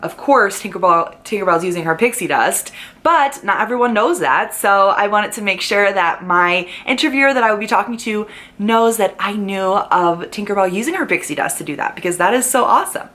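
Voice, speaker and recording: A young adult woman talking to camera as a vlogger, walking through her prepared notes in an upbeat manner, lifting her pitch as she starts each new point. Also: lively intonation, a little fast, bright, forceful tone.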